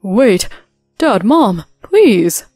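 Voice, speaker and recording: A selfish young husband snaps out cold, demanding lines, as a woman reads him aloud. He sounds a touch louder and harder than the narrating voice, in a dry close recording with faint music underneath. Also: medium pitch, big pitch swings, extremely slow, no room sound, full character voice. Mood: pleading. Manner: dismissive and demanding.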